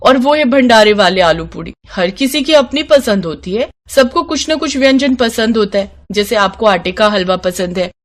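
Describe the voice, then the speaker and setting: A woman's voice: fairly high pitch, expressive, moderate pace, hardly any pauses, natural voice. A woman voicing a young daughter-in-law in a moral story, eager, earnest and a little pleading.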